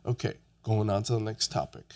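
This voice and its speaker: A man's voice: on the low side, flat delivery, a little fast, vocal fry, darkish tone. A young man raised in Japan, co-hosting a podcast and speaking reflectively with many fillers. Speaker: podcast co-host. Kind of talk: casual podcast conversation.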